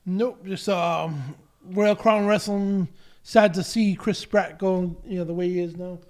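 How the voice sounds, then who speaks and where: A man reads out the chat as the show's producer. His voice sits high for a man, and his answers come short and offhand. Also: steady tone, unhurried, darkish tone. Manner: brief, offhand replies.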